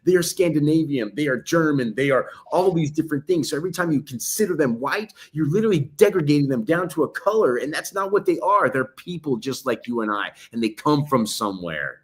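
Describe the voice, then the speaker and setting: A man's voice: fairly high pitch, lively intonation, brisk, few pauses. A man co-hosting a live podcast, opinionated and arguing through rhetorical questions, casually calling his partner bro. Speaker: podcast co-host.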